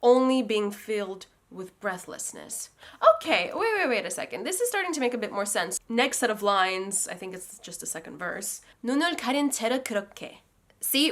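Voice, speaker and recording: Bright-voiced Russian-native woman explaining lyrics. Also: on the high side. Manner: chatty, self-correcting, thinks aloud while translating. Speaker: language learning host. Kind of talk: casual lyrics breakdown lesson.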